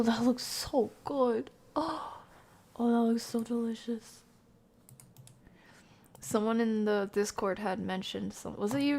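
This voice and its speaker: Woman, fairly high pitch, noticeable creak, casual game streamer, slangy, playful, sometimes soft-spoken. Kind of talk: casual chat with live viewers.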